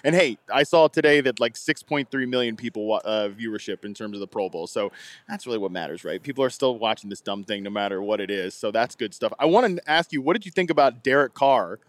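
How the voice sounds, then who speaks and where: A man's voice: medium pitch, expressive, fast, dry recording. A man hosting a podcast in casual chat. He talks loosely, laughs often and fills his sentences with restarts and fillers. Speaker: podcast host.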